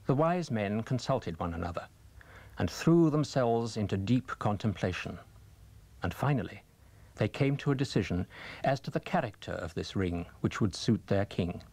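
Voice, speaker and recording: Male audiobook reader. Lowish pitch, very dry recording, measured formal storytelling with deliberate phrasing. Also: lively intonation, creaky, darkish tone, no room sound. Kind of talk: scripted story narration.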